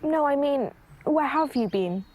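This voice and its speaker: An actress playing a hurt, reproachful younger sister in naturalistic film dialogue, terse and guarded. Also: female voice, high voice, lively intonation, unhurried, darkish tone. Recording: outdoor location noise behind dialogue.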